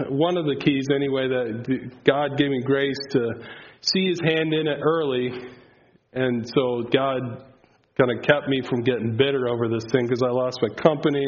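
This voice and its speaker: A man's voice: mid-range voice, slight background noise. A man whose first language is Pennsylvania Dutch, giving a casual, rambling testimony before an audience with lots of filler words. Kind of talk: faith testimony talk. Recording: slightly dull, lo-fi sound.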